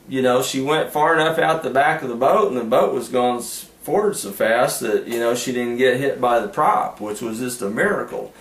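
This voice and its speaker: A man's voice: mid-range voice, a bit bright. A man telling fishing stories in a casual, rambling, folksy way, often trailing into "anyway" and "uh". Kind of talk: casual storytelling. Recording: echoey room with faint ambience.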